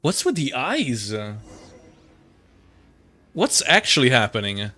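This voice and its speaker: Young male game streamer: crisp bright tone, medium pitch, casual and slangy, thinking aloud haltingly. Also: wildly swooping pitch. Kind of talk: casual live game commentary. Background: game music.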